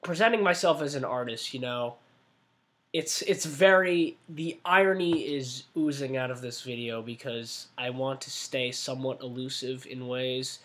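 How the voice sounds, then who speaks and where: A man's voice: medium pitch, normal speed, a bit bright. Young man, nineteen, casual webcam vlog, rambling, lots of fillers and restarts, small room. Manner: rambling, self-correcting, many fillers. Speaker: art vlogger. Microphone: webcam at desk.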